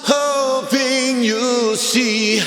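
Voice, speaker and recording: A man singing a slow rock ballad a cappella, holding sustained notes in a high register. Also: steady tone, fairly bright tone, soft background music. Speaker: amateur singer. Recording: roomy vocal with long added reverb.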